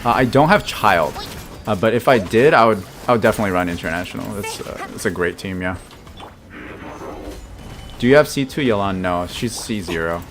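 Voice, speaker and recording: Man, thirties, from the US, lowish voice, laid-back streamer chatter, laughs easily. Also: moderate pace. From United States. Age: 30s. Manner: relaxed, chatty, answers chat, laughs often. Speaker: game streamer. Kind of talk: casual live-stream commentary.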